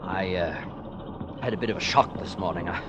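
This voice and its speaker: An actor playing a shaken, courteous gentleman in a 1940s radio drama, hesitant and stammering. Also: low-pitched, normal speed, close to an everyday voice. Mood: shaken, grateful. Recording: noisy 1940s radio broadcast.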